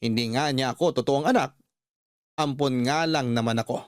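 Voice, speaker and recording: A man's voice: medium pitch, normal speed, dry recording. Dry, close Filipino male storyteller. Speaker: radio storyteller reading a listener's letter. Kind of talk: scripted first-person story narration.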